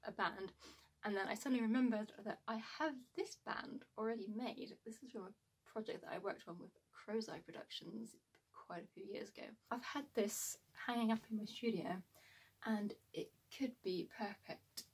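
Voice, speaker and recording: A woman's voice: mid-range voice, normal speed, husky, rough recording. A woman, chatting casually and informally to camera as a sewing vlogger in a small room at home.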